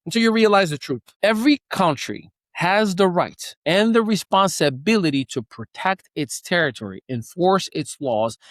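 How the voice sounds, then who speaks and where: A man's voice: sits high, expressive, normal speed, few pauses, dry recording, clean studio sound. A man born in Morocco hosting a news show, giving an earnest, opinionated commentary with occasional restarts and fillers. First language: Moroccan Arabic.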